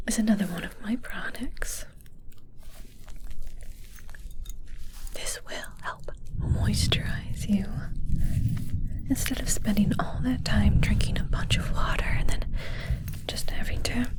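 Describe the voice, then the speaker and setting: A woman playing a curious, callous witch who tests her potions, in a slow, breathy whisper for an ASMR roleplay. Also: medium pitch, natural voice. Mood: smug salesmanship.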